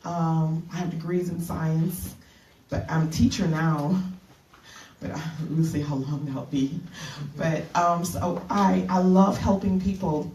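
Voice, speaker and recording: A middle-aged woman from the Bahamas, giving an earnest retreat keynote as a minister, halting with fillers and restarts. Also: deep voice, fairly even intonation, a little mellow. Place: conference room. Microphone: handheld mic. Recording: echoey room from a distance.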